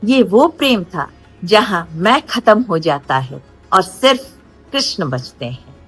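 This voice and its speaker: A woman narrating devotional stories in a dramatic storytelling style, with deliberate phrasing and pauses. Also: mid-range voice, sing-song, breathy edge, rough recording. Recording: faint background music. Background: soft music.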